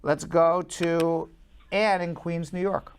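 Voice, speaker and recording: A man's voice: a little high, level tone, takes it slowly, a little hiss or hum. A man hosting a live call-in show, giving legal advice to callers in a blunt, emphatic, repetitive way.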